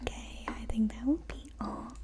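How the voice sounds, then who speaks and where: A woman role-playing a friendly nail salon technician, whispering softly close to the mic and slowly repeating words. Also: close to an everyday voice. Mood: gentle. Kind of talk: ASMR whispered roleplay.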